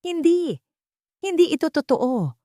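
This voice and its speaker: A woman's voice: high voice, sing-song, unhurried, warm tone, no room sound, studio quality, voice pushed up. A woman voicing an angry, scheming daughter-in-law in an audio drama, protesting indignantly. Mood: desperate, furious.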